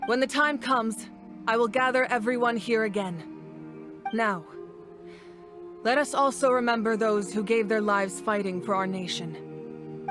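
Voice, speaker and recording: Woman doing solemn noble leader lady from a video game, measured speechy delivery, highish voice. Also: close to an everyday voice. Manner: formal, measured, ceremonial oratory. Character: dignified, solemn female leader rallying her nation. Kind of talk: scripted video game voice acting.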